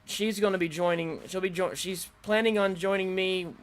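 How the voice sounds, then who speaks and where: A man's voice: high voice, fairly even intonation, normal speed. A man making casual, unscripted announcements as host, rambling and hesitant, often rephrasing himself. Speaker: host of an online creative group's announcement video. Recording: music playing behind the voice. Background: electronic dance remix music.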